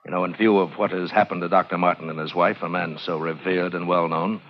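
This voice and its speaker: An actor playing a firm, authoritative police interrogator in an old radio drama, pressing for answers. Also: male voice, sits low, fairly even intonation, fast, a little hiss or hum. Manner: insistent, authoritative. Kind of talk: 1940s radio acting. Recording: dull old radio recording.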